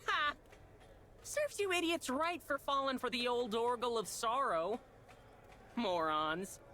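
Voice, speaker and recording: A woman's voice: on the high side, animated, slow, sharp tone, a little hiss or hum, exaggerated voice. A woman voicing a scrappy young boy inventor in a video game, brash and slangy. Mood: cocky. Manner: brash, slangy, emotional pleading. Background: game music.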